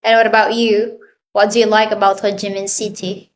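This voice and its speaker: A woman's voice: medium pitch, level tone, brisk, slight background noise. A woman from the Philippines teaching an online English class to children, asking patient prompting questions over a video call.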